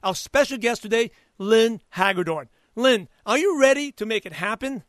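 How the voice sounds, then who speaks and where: A man hosting a podcast interview, hoarse from partly losing his voice, with an energetic, hyped-up delivery. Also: very high voice, normal speed, dry recording, studio quality. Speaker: podcast host.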